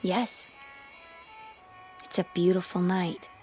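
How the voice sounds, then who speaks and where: Slow-speaking, sweet young girl. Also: fairly low pitch, little pitch movement, dark tone, faint music behind. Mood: tender curiosity. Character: sweet, curious teenage girl falling in love. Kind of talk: radio drama acting.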